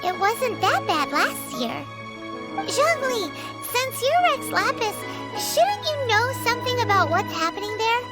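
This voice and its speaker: Chirpy childlike fairy companion, extremely high and lively, inquisitive game dialogue. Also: female voice, unhurried, heavily acted. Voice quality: squeaky, childlike. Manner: refers to self by name in third person, exclaiming. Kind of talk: video game character dialogue. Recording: loud jingling background music.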